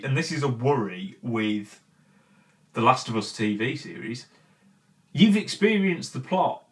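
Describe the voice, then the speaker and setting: A man's voice: mid-range voice, fairly bright tone. A man hosting a video channel, delivering conversational, opinionated commentary to viewers with emphatic, point-by-point repetition. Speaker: video channel host presenting an opinion piece.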